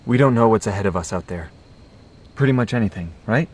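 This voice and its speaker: A man's voice: lowish voice, expressive, fairly quick, breathy edge. A man playing an earnest, intense young man in an audio drama, solemn and persuasive as he rallies his companions. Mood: solemn, urgent.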